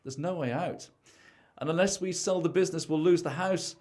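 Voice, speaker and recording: A man's voice: a little high, moderate pace, darkish tone, natural voice. Young man acting as a stressed, secretive British husband. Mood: gloomy confession. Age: young adult. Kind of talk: amateur radio play acting.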